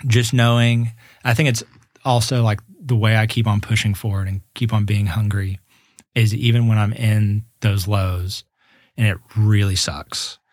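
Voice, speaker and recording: A young man in his twenties talks about his path as a musician in an easygoing interview. His voice sits a little low and he speaks earnestly, often circling back to restart a thought. The sound is dry, with no room around it. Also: flat delivery, measured pace, dry recording. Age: 20s. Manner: earnest, reflective, with many restarts. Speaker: guest musician. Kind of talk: casual podcast interview.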